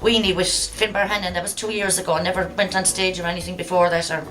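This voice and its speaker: A woman's voice: on the low side, flat delivery, quick pace, a bit bright. A woman with an Irish accent chatting casually in an interview, emphatic and often repeating her phrases. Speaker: amateur actress being interviewed. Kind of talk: casual chat. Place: launch event at an arts centre. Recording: music playing in the background.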